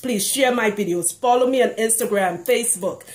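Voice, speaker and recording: A woman's voice: a little high, normal speed, reverberant. A woman from Trinidad with a Trinidadian accent, talking casually and outspokenly to her viewers as a hair care consultant, laughing often. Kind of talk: casual vlog talk to camera.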